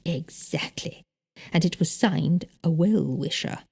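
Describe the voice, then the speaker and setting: Female narrator as prim older lady, British accent, indignant, precise, emphatic, mellow timbre, audiobook dialogue. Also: low voice, takes it slowly, creaky, slightly rough, exaggerated voice. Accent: British English.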